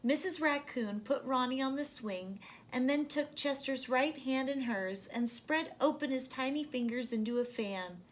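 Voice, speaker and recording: Slow, gentle woman reading a storybook. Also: high voice. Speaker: children's storybook reader. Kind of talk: expressive read-aloud narration for children.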